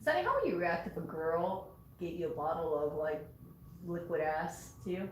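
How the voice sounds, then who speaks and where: A woman's voice: fairly low pitch, lively intonation, moderate pace, reverberant, slight background noise, poor audio. A woman co-hosting a live stream, chatting casually and teasing her co-host, laughing often.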